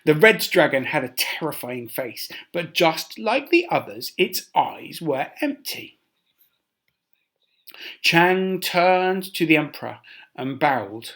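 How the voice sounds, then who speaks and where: A man reads a traditional tale to children like a teacher in class. His delivery is unhurried and expressive, with wide swings in pitch and dramatic emphasis on key words. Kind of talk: children's story read aloud.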